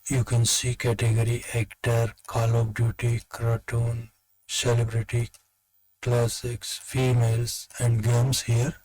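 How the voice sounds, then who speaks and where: A man walks through a software demonstration in a plain instructional manner. His delivery is very slow and his intonation stays flat, at a medium pitch. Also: rough recording. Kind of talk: step-by-step tutorial narration.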